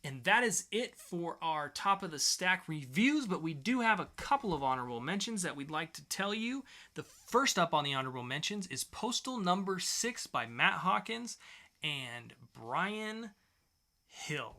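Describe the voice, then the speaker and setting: A man's voice: on the high side, very animated, moderate pace, sharp tone. A man co-hosting a podcast, chatting casually and enthusiastically about what he reviews, repeating phrases for emphasis. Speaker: podcast co-host. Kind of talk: casual comic book review chat.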